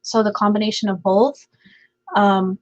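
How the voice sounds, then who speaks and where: A woman's voice: medium pitch, level tone, measured pace. Chatty woman streaming from home, voice scratchy and half gone, a bit lo-fi. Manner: chatty, laughs often, many fillers. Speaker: livestream host. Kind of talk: casual chat reading viewer comments.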